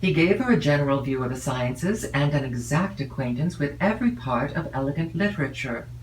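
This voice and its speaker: A woman reading a classic novel as an audiobook narrator, in a measured, expressive way with drawn-out phrases. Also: deep voice. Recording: roomy, distant mic with faint noise.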